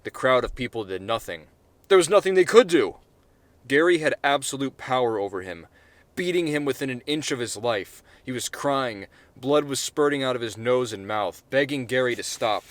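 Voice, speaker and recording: A man's voice: medium pitch, animated, moderate pace, dry recording. Brief: male author reading his short story, casual and unpolished. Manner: casual, with profanity and self-corrections.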